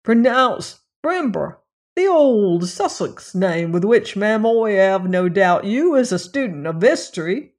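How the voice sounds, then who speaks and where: A woman voicing a cheeky, theatrical teenage servant boy with a Cockney accent and flamboyant, drawn-out flourishes, in a story reading. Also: medium pitch, sing-song, a little mellow.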